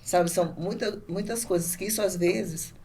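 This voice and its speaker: A middle-aged woman with a Brazilian Portuguese accent answers interview questions conversationally, pausing and restarting as she searches for words. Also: lowish voice, steady tone, dark tone. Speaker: podcast guest, business owner. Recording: clearly noisy background ambience.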